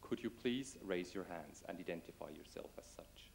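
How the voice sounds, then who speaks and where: Guy in his 40s, kinda low voice, talks to the crowd at a conference, table mic. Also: expressive, moderate pace, creaky, darkish tone, a little hiss or hum. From Switzerland. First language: Swiss German. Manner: addresses audience directly, asks questions. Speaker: conference speaker. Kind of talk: conference presentation. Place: conference room with window. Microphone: table microphone.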